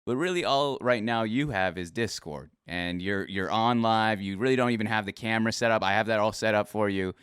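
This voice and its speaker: A man's voice: lowish voice, a little fast, few pauses, fairly bright tone, clear, resonant tone, no room sound, clean studio sound. A man hosting a casual interview show, talking in a rambling, friendly way with plenty of fillers and restarts. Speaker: podcast host.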